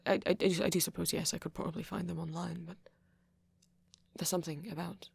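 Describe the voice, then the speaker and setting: Woman as a breathy, stammering shy vampire boy. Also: fairly low pitch, steady tone, fast talker, dry recording, heavily acted. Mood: shy, hesitant. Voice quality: soft, breathy, often half-whispered. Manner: hesitant, stammering, self-conscious. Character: shy, anxious, apologetic young-looking male vampire, softly nerdy. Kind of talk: ASMR roleplay monologue to a listener.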